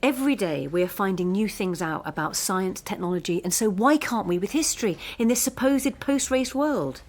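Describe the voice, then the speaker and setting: An actress playing a driven, privileged young postgraduate who argues insistently and articulately in a radio drama. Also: female voice, medium pitch, lively intonation, normal speed, darkish tone, lightly acted. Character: earnest, driven, privileged female postgraduate student.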